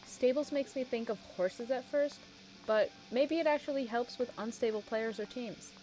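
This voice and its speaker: A woman's voice: on the high side, normal speed, mellow, close to an everyday voice. A woman playing a chatty sports radio announcer who thinks aloud in a conversational, scripted show with hesitant asides. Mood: casual, chatty. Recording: music behind the voice.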